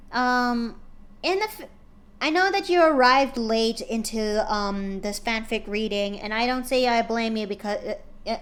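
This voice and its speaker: A woman streamer talking casually with her chat and narrating a fanfic, often halting with fillers and restarts. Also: on the high side, a bit bright.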